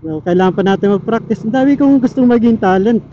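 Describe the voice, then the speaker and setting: A man's voice: high voice, fast talker, warm tone. A man chatting casually and playfully to his vlog viewers while riding a motorcycle, through a helmet intercom mic. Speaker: motovlogger. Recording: muffled helmet intercom, vehicle noise.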